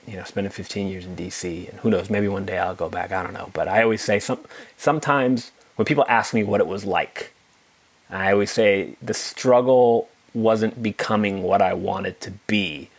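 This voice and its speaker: A man's voice: lowish voice, moderate pace. A middle-aged man hosting a podcast, talking candidly and casually with lots of "you know" and "like". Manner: candid and animated, many fillers like "you know" and "like". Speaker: podcast host. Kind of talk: conversational podcast interview.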